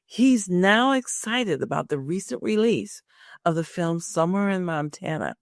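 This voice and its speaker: A woman's voice: fairly low pitch, animated, moderate pace, dry recording, studio quality. A woman hosting a career podcast, moving between a prepared introduction and friendly interview chat. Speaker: podcast host and career coach.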